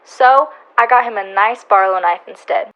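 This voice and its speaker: A woman's voice: mid-range voice, normal speed, lo-fi sound, natural voice. A woman playing a distressed frontier mother in a radio western, with an American accent and folksy rural speech. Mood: anxious. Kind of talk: old-time radio western acting.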